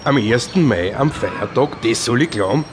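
A man acts a gruff homicide detective in a radio play. His Viennese voice sits at a middle pitch, curt and probing, thick with sarcasm and suspicion. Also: expressive, moderate pace, noisy background, natural voice. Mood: sarcastic, suspicious. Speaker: police detective.

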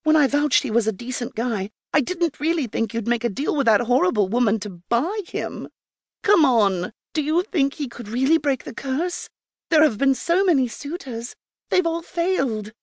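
Woman as a frank female confidante, raised pitch. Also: expressive, normal speed, a little mellow, no room sound, studio quality. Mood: doubtful, urgent. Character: frank, doubtful female magic mirror servant and confidante.

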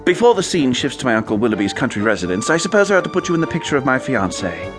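A man performs a foppish young English gentleman of the idle rich for a radio play. His speech is posh, chatty and full of exclamations, with very lively swings in pitch. He sounds breezy yet easily flustered, and music accompanies the recording. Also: racing, lightly acted. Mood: breezy, confiding. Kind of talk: radio comedy acting with first-person narration.

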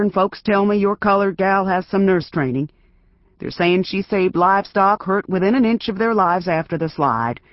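A reedy, high, loud sheriff, put on by a male audiobook reader, gruff and pushy as he barks orders through a door. His voice rides well above the reader's own and comes out forceful. The sound is dry and a little dull. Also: no room sound. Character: nervous, blustering middle-aged male sheriff.

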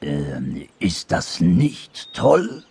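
A man's voice: mid-range voice, wildly swooping pitch, slow, gravelly, a little hiss or hum, voice pushed up, heavily acted. A man voicing a big, easily hurt anteater in a storybook, hesitant and brooding. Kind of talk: storybook character voice.

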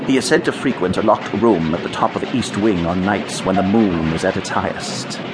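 An actor playing a grave, scholarly gentleman detective of the supernatural in a radio drama, with formal, precise phrasing. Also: low-pitched, normal speed, very noisy, lightly acted. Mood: grave, earnest. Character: measured gentleman ghost hunter, grave and scholarly psychical detective.

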